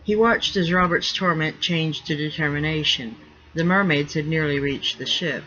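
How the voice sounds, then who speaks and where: A man's voice: fairly high pitch, normal speed, bright, forceful tone. A man reading fiction aloud as scripted narration, as an author would at a reading. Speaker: author reading own novel. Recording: dull lo-fi recording.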